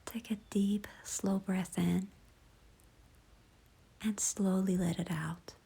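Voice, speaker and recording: A woman leading a guided visualization in a soft, hushed, breathy voice, gentle, unhurried and soothing. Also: mid-range voice, steady tone. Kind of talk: slow guided meditation narration.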